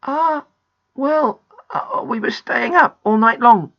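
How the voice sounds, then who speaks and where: A woman voicing an excitable, easily alarmed young boy in a children's storybook, urgent and exclamatory. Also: on the high side, slow pace, highs cut off, pitched above the performer's own voice. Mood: sheepish.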